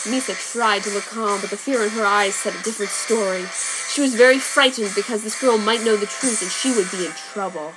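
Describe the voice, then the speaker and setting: Woman reading with deadpan mock drama. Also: mid-range voice, fairly quick, low-quality recording. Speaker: reader. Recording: background music. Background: soundtrack music.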